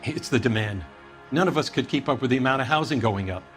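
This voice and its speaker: A man's voice: mid-range voice, lively intonation, fast talker, soft background music, natural voice. A man playing a defensive, harried heater company boss in a video game, talking in a matter-of-fact way. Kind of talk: video game dramatic acting.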